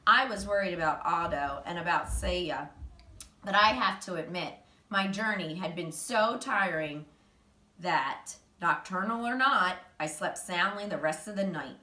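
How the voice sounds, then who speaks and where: A woman reading a children's story aloud in an animated, expressive storytelling manner. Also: fairly low pitch, unhurried. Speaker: reader, teacher. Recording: roomy, distant mic, faint noise.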